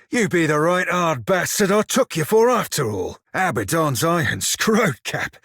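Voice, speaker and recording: An actor voicing a wry, foul-mouthed pirate shiphunter in a video game, speaking a colloquial sailor's dialect with a gritty, husky voice. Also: male voice, medium pitch, fairly bright tone, dry recording, clean studio sound, full character voice. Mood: animated, teasing. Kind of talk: video game character dialogue.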